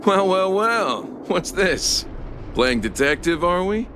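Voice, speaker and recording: A man's voice: medium pitch, very animated, normal speed, background noise. An actor voicing a haughty, indignant male suspect in a video game, condescending and mocking. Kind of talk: theatrical video game dialogue.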